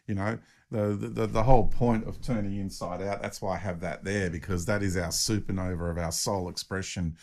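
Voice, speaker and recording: A man's voice: deep voice, steady tone, a little fast, few pauses, a little mellow. A man hosting a spiritual podcast, talking in an enthusiastic, affirming way and often repeating words as he goes. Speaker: podcast host.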